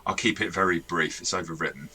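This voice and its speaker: A man's voice: sits low, fairly even intonation, fast, sharp tone, a little hiss or hum. A man co-hosting a casual review discussion as a game master, often correcting himself and adding asides. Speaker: tabletop game master and review show co-host.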